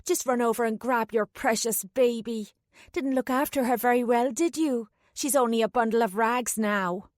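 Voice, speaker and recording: A woman reading an audiobook voices a desperate young woman spirit whose voice is reedy, ragged and shrill. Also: moderate pace, dry recording, studio quality, lightly acted. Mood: taunting.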